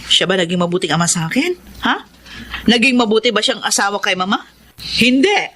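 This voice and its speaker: Sharp-voiced, resentful Filipino mother. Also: mid-range voice, very animated, brisk, slight background noise. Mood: angry, bitter. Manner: emphatic, scolding. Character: bitter, resentful mother still angry at her father. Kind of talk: Filipino radio drama acting.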